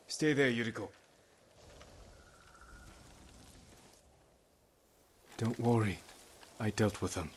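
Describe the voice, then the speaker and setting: An actor playing a stoic, earnest samurai lord in a video game, speaking in measured, terse, serious lines with quiet care. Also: male voice, lowish voice, slight background noise, close to an everyday voice. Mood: calm, reassuring. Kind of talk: restrained dramatic video game acting.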